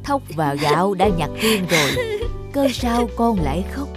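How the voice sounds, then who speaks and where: Woman voicing a gentle old fairy helper, music behind. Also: medium pitch, very animated, a little slow. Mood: gentle, consoling. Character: kindly old male Buddha-like fairy helper.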